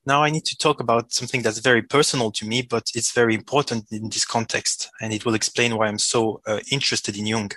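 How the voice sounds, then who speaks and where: A man's voice: mid-range voice, steady tone, fast talker, few pauses, bright, forceful tone, no echo. A native French-speaking man from Switzerland with a Swiss French accent and a slight cold, talking hesitantly as an interview guest. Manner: hesitant, self-correcting. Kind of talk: halting conversational interview talk.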